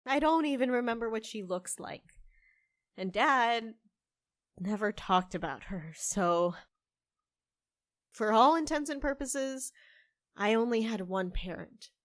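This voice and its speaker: A woman's voice: on the high side, lively intonation, takes it slowly, pauses often, dry recording. A woman playing a guarded, world-weary young person in an audio drama, dry, curt and defensive.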